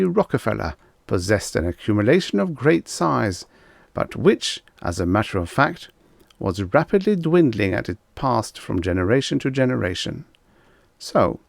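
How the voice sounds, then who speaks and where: A man voicing a pompous professor of the future in an audiobook story, lecturing didactically with declamatory, deliberate emphasis. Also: medium pitch, vocal fry, no echo, studio quality.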